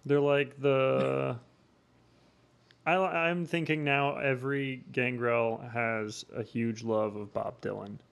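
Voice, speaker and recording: A man's voice: medium pitch, fairly even intonation, slow pace, gravelly, clean tone, highs cut off. A man co-hosting a casual chat, adding short reactions and asides. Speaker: podcast co-host.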